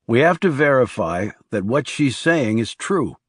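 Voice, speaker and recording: A man's voice: medium pitch, sing-song, unhurried, slightly rough, dry recording, clean studio sound. A man voicing a guarded, blunt male assassin in terse audiobook dialogue. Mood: businesslike, skeptical. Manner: terse, questioning.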